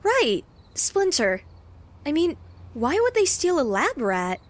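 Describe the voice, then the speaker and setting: A woman's voice: high-pitched, huge pitch swings, slow pace, full character voice. A woman playing a brave, earnest young lab intern in a comic-book audio drama, talking in a conversational, coaxing way. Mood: feigned innocence.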